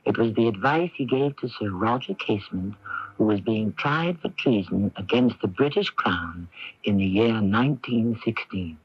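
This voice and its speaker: A man's voice: fairly low pitch, lively intonation, moderate pace, breathy edge, no echo. A man giving dramatic scripted narration as the host of a radio drama. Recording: dull lo-fi 1980s AM radio recording.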